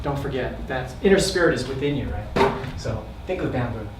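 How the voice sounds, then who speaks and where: A man's voice: mid-range voice, animated, brisk. A man hosting an event, presenting to an audience in an upbeat, encouraging way and laughing often. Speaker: event host. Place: event hall. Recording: echoey hall, distant mic, faint noise.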